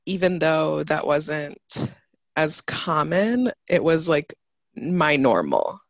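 A woman guest speaking in a reflective, casual way, pausing often to search for the right words. Her voice carries a gravelly, creaky texture. The recording is dry and close, with no room sound. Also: low-pitched, sing-song, no echo. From United States and Mozambique. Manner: thoughtful, searching for words, many fillers. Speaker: podcast guest.

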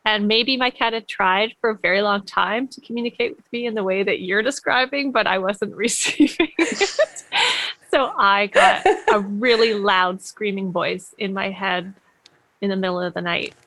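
A woman with a gravelly, creaky voice telling a personal story. She speaks reflectively with soft laughs. The sound is dry and close. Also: mid-range voice, moderate pace, dry recording. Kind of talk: casual conversation.

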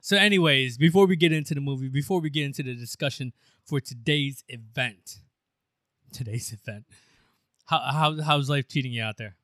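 A man co-hosting a podcast in loose, rambling casual chat, quick to laugh. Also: medium pitch, fairly bright tone, no room sound, clean studio sound. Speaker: podcast co-host.

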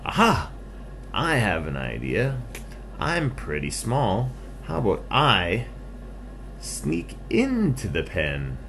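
A man's voice: fairly low pitch, wildly swooping pitch, slow pace, gravelly, fairly bright tone, a little hiss or hum, voice pushed up, exaggerated voice. A man voicing a small, friendly, lonely skunk for a children's bedtime story, chatty and eager. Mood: thoughtful.

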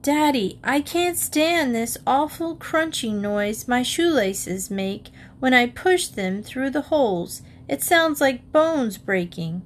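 A woman's voice: high voice, lively intonation, slow pace, hardly any pauses, pitched above the performer's own voice. A woman voicing a fretful young schoolboy in a storybook, whiny and exclaiming, on a noisy recording. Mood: bothered. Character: fretful young schoolboy complaining about loud noises.

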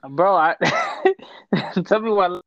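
A man in his twenties, born in Ethiopia, chatting casually as a podcast guest, slangy and quick to laugh. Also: high voice, gravelly, no echo, highs cut off. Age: 20s. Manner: relaxed, slangy, laughs often. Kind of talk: casual chat.

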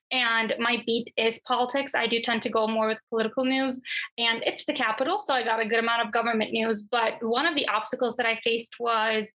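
A woman's voice: a little high, sharp tone, rough recording. A young woman from Turkey in her twenties, a journalist answering interview questions in a chatty, thoughtful way. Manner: chatty and thoughtful, with frequent fillers and restarts. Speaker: guest, journalist.

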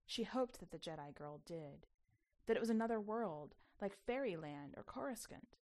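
A woman reading scripted fiction as an expressive podcast storyteller. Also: mid-range voice, normal speed. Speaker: podcast editor and story reader.

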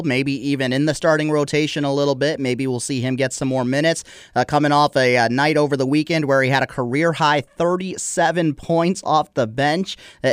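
A man's voice: medium pitch, clean tone, no room sound, clean studio sound. A man hosting a sports radio interview in a casual, chatty, conversational way. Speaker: sports show host.

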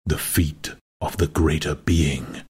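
A man voicing a solemn, disembodied supernatural being in a dramatized audiobook, speaking slowly with deep yearning and command. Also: steady tone, a little mellow, husky, exaggerated voice. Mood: hushed, ominous. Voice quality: deep, rich, vibrant. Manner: slow, drawn out, sinking to a whisper.

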